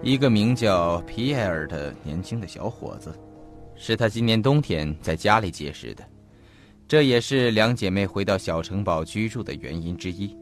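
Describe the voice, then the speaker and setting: Actor as deep-voiced, earnest male investigator. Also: animated, close to an everyday voice. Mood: grave, explanatory. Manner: formal, deliberate, detailed. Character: earnest male investigator recounting a mysterious disappearance. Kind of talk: Chinese audio drama acting, measured storytelling delivery. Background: faint background music.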